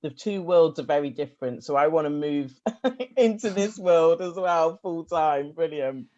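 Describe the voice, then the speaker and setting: A woman's voice: sits low, measured pace, a little mellow, muffled recording. A woman hosting a podcast interview, chatty and enthusiastic. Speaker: podcast host.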